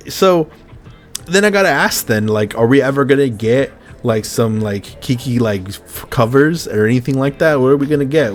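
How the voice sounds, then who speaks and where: A young man with a rough voice hosting a podcast in a casual, laid-back chat, swearing freely as he talks. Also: mid-range voice. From Mexican heritage. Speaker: podcast host.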